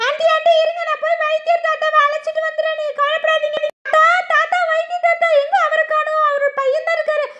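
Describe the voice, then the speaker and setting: A woman voicing an eager little village girl in a cartoon, with a squeaky, sing-song child voice. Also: little pitch movement, fast, few pauses, very noisy. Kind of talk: cartoon voice acting.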